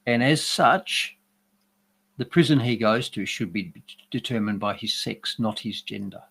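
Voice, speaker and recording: A man's voice: lowish voice, measured pace, gravelly, a bit bright, husky. Dry sardonic man. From Australia. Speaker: regular commentator. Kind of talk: opinionated news commentary.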